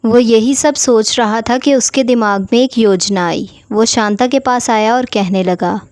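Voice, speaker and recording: High-voiced woman narrating, dry recording. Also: measured pace, darkish tone, dry recording. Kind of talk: scripted children's story narration.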